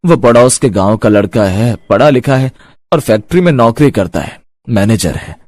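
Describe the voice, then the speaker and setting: A man's voice: medium pitch, lively intonation, normal speed, a little mellow, no room sound, close to an everyday voice. A man playing a caring middle-aged father, a humble rickshaw driver in a cartoon story, earnest and reassuring. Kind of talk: Hindi moral cartoon story acting.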